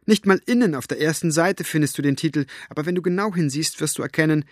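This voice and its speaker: A man voicing a gruff, sharp-tongued woman book collector who talks brusquely and mockingly. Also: a little high, fast, dry recording, clean studio sound, pitched above the performer's own voice, natural voice.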